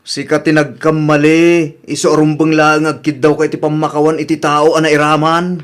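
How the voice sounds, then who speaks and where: A man's voice: a little high, completely flat delivery, moderate pace, smooth, close to an everyday voice. A man with an Ilocano Filipino accent acting a reproving, level-headed village husband in a radio drama. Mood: scolding.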